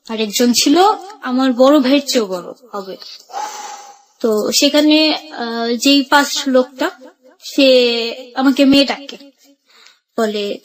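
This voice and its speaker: High voiced bangladeshi woman, dhaka accent, telling her life story on radio, casual wording, acts out bits of dialogue. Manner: colloquial, re-enacts dialogue as she recounts. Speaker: radio show guest. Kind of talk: personal life-story narration.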